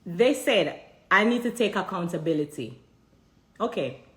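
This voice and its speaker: A woman's voice: on the low side, expressive, unhurried, a bit bright, roomy sound. Jamaican woman asking pointed questions. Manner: emphatic, repeats rhetorical questions to the audience. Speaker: vlogger addressing viewers. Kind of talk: direct-to-camera commentary.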